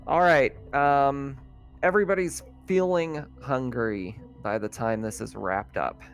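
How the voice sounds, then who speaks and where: A man's voice: medium pitch, a little slow, soft background music. A man running an online tabletop roleplaying game as game master, talking casually and a little hesitantly as he checks rules.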